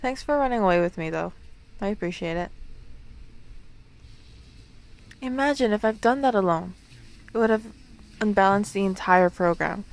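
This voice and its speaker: A woman's voice: mid-range voice, fairly quick, natural voice. Bubbly, rambling young-hearted woman on a date, acted. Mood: flustered, friendly. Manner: chatty, rambling, giggly, hesitant. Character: bubbly, playful, awkward woman at speed dating. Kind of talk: ASMR roleplay, natural conversational acting to the listener.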